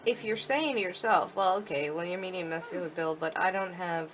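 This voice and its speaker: A woman's voice: on the low side, a bit bright, a little hiss or hum, rough recording. A woman giving a chatty, informal live walkthrough commentary of a video game, explaining as she goes. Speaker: video game demo presenter.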